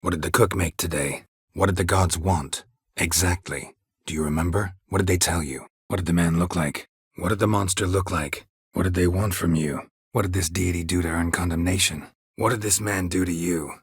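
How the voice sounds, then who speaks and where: An actor playing a gruff, stoic, sardonic middle-aged monster hunter in a video game, a gravelly rasp and terse, deadpan delivery. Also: male voice, low-pitched, moderate pace, no room sound, studio quality. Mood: dry, questioning. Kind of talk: video game character dialogue.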